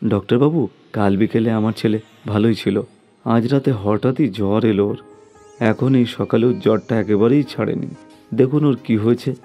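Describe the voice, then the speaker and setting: Casting: a man as an eager, fretful father in an animated tale, soft dark timbre. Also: fairly low pitch, moderate pace, faint music behind, close to an everyday voice. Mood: worried. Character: worried, eager father of an only son. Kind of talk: scripted cartoon story acting.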